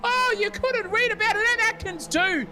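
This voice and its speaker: An Australian man commentating a sim race, excitable and exclamatory, swearing freely. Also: very high-pitched, moderate pace, over music. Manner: shouty, exclamatory, swears casually.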